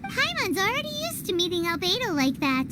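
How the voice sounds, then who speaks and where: A little game fairy with an extremely high, childlike squeak. She is cheeky and excitable, swinging widely in pitch as she exclaims. Loud noise sits behind the voice. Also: a little fast. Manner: exclamatory, refers to herself by name. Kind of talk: cartoonish video game cutscene acting.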